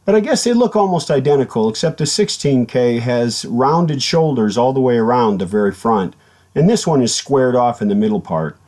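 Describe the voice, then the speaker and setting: A man giving a casual, hands-on workshop explanation as a hobbyist restorer, haltingly thinking aloud as he works. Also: medium pitch, lively intonation, a little mellow. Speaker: hobbyist radio restorer and video maker. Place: indoor home workshop at a workbench.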